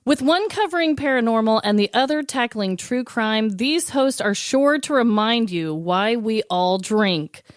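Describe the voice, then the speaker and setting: A woman's voice: a little high, lively intonation, a little slow, few pauses, no echo, clean studio sound. A woman hosting a podcast in a casual, conversational style, introducing and prompting the others. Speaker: podcast host.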